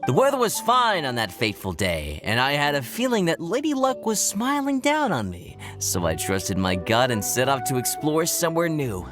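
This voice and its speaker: Earnest, animated young adventurer. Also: male voice, medium pitch. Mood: proud storytelling. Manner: earnest, dramatic. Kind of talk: video game voice acting, storytelling. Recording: faint music behind the voice.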